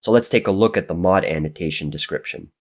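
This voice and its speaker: A man with a very low voice walks through a coding tutorial as a voice-over. A cold leaves him a little raspy and nasal, and he explains each step in a steady, methodical way. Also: fast. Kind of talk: screen-recorded programming tutorial narration.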